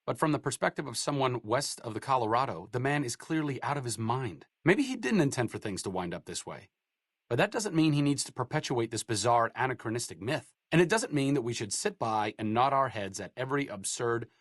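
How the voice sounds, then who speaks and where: A man's voice: medium pitch, no room sound. An actor playing a wry, sardonic male doctor in a video game, with an articulate, bookish way of talking and dry sarcastic wit. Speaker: companion character in a video game. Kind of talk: game voice acting. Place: studio booth.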